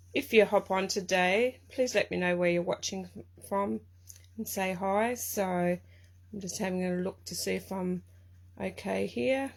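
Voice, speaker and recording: Croaky aussie lady rambling thru a craft livestream, lots of ums, bit gravelly, middle-aged. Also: lowish voice. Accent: Australian. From Tasmania, Australia. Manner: chatty, rambling, many ums and restarts. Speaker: craft demonstrator hosting a live stream. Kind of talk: casual live-stream chat. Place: home craft room.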